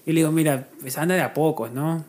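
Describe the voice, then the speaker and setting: A man's voice: fairly high pitch, fast. A man hosting a podcast, chatting in an easy, reflective way about his life. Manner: relaxed storytelling, reflective. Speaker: podcast host. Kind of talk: casual chat.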